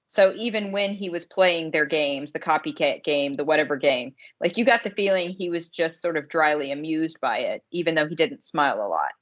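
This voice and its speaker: A woman co-hosting a podcast, talking through a film casually and conversationally, rephrasing as she goes. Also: sits low. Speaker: podcast co-host. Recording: slightly dull, muffled sound.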